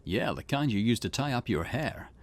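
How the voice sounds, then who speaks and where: A man's voice: medium pitch, expressive, moderate pace, close to an everyday voice. A man voicing a friendly, easygoing young male police officer.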